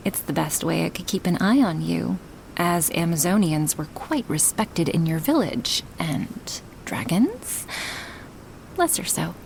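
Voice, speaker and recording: Slow, low-voiced gentle dragoness, played by a woman. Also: expressive, slight background noise, exaggerated voice, poor audio. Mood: amused, revealing. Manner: slow, intimate, breathy whispering in places, formal wording. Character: huge gentle female dragon, earnest and affectionate, magically disguised. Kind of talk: soft-spoken ASMR roleplay to the listener.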